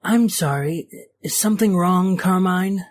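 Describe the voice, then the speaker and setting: A man's voice: sits high, measured pace. A man playing a smug, corrupt police chief in an audio drama, slick, dismissive and sure of himself. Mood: feigned innocence. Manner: slick, dismissive, self-assured. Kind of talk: scripted audio drama dialogue.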